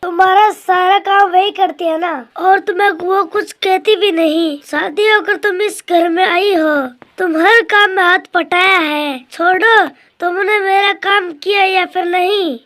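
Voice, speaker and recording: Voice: very high-pitched, steady tone, unhurried, few pauses, fairly bright tone. A performer voicing a young male pigeon husband in a cartoon, earnest, insistent and loyal to his family. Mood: annoyed. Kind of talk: Hindi cartoon voice acting.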